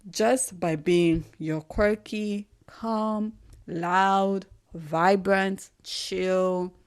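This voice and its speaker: A young woman with a Ghanaian accent hosting a solo podcast, talking in a thoughtful, earnest way and pausing to find her words. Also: mid-range voice, steady tone, clear, resonant tone. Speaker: podcast host. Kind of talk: reflective solo podcast monologue.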